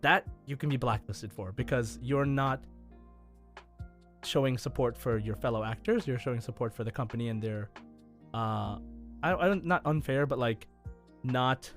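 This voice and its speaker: A man hosting a gaming podcast, talking casually. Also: mid-range voice. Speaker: podcast host.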